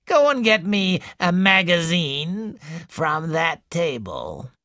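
Cruel old matriarch, slow gravelly drawl, bright edge, put on by a male narrator. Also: on the high side, very animated, no room sound, clean studio sound, voice pushed up, full character voice. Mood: gloating. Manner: slow, savoring, menacing. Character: cruel domineering elderly woman, gloating tyrant mother.

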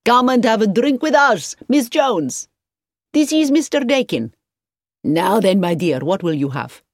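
A woman's voice: medium pitch, very animated, measured pace, a little mellow, no room sound, studio quality, voice pushed up. Woman putting on an exuberant, gushing hotelkeeper man. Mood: jovial, effusive. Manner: effusive, enthusiastic, emphatic. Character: exuberant, hospitable foreign hotelkeeper man, effusive and jovial.

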